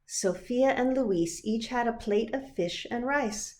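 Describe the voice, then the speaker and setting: A woman narrating a children's picture book in an expressive, deliberate storytelling manner. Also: on the high side. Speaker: pastor reading a picture book.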